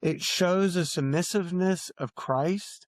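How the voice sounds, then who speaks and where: A man's voice: sits high, a little slow, dry recording, clean studio sound. A man talking earnestly as an author guest on a podcast, repeating phrases for emphasis. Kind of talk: earnest podcast interview talk.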